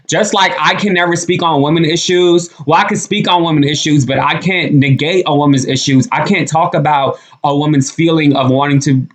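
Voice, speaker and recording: A man's voice: on the high side, fairly bright tone. A young man from the United States giving blunt, emphatic reaction commentary on his vlog, repeating points and swearing freely. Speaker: commentary vlogger.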